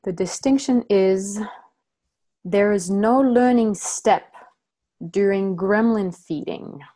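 Woman, from France, workshop facilitator, halting, frequent restarts, explaining slowly. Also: medium pitch, warm tone. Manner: halting, many restarts and self-corrections. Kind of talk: teaching a group, reading from notes.

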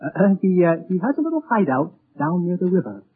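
High, fussy little tippler. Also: male voice, very animated, fairly quick, breathy edge, full character voice. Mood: fussy, dithering. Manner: fussy, dithering, repeats himself with murmured hesitations. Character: weird little genteel tippling male underworld informant. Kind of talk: 1940s radio acting. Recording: tinny 1940s radio recording with music.

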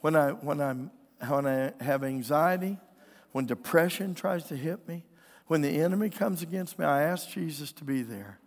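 A man's voice: fairly high pitch, moderate pace. A man preaching a conversational sermon as a pastor, folksy and earnest, his voice strained and weakened, often asking the congregation for an amen. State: strained, weakened voice with throat clearing. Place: indoors, preaching in a church. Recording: church hall with long reverb.

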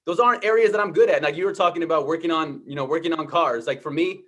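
A man hosting an interview, responding in a warm, affirming, reflective way and often stumbling over repeated words. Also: on the high side, fast. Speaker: interview host. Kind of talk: conversational interview.

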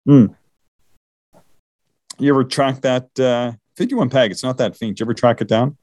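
A man's voice: medium pitch, fairly even intonation, moderate pace, darkish tone, no echo, studio quality. A man co-hosting a casual astronomy podcast, rambling with many fillers and restarts. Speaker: podcast co-host.

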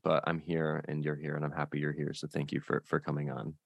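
A man's voice: extremely low pitch, monotone, fast, creaky, dry recording. A man hosting a casual interview, asking rambling, hesitant questions with light laughs. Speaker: podcast host.

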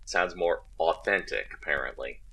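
A man voicing a male space pirate who puts on a gruff pirate growl. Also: deep voice, normal speed, sharp tone, exaggerated voice. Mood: chatty, candid. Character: male space pirate, really a jobbing actor.

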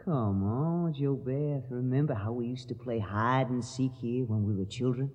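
A man's voice: medium pitch, normal speed, darkish tone, lightly acted. An actor playing a teasing, coaxing young man who clings to childhood magic in a radio drama. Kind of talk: 1980s radio drama acting. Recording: muffled audio with long ghostly reverb.

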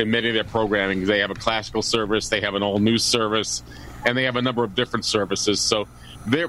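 Male panelist, lowish voice, stammers, faint hiss behind. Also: a bit bright, low-quality recording. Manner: stammering repeats. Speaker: radio show panelist. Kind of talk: casual panel chat.

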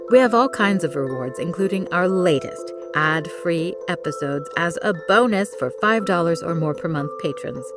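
A woman reading a scripted podcast outro and credits as the host. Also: fairly low pitch. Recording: clear music bed under the voice. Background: music with chimes.

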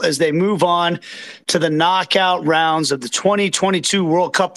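A hoarse man from Kansas City hosting a live post-match reaction, talking in an excited, rambling, self-deprecating way from a green room backstage. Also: high-pitched. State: hoarse from yelling. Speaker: podcast host. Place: green room behind an outdoor stage. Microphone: phone.

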